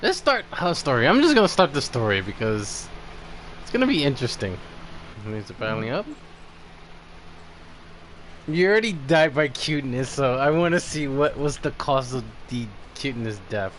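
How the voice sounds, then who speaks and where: A man's voice: medium pitch, big pitch swings, moderate pace, pauses often, fairly bright tone, a little hiss or hum. A man hosting a casual gaming livestream, chatting and reacting as he plays. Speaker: livestreamer, host.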